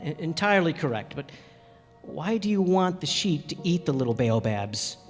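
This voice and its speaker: A stranded pilot played by a man tells his story in a fairly high male voice. He is reflective yet easily flustered, in radio-play style. The sound has long hall reverb and music behind it. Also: big pitch swings, normal speed. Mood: patient, puzzled. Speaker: narrator and character. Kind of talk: radio drama acting and narration.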